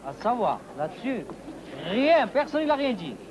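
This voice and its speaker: Teasing working-class buddy, comic drama, high pitch, noisy busy street behind. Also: male voice, sing-song, moderate pace. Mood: teasing, knowing. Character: teasing, gossipy neighbourhood pal, working-class man. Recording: busy street noise and crowd.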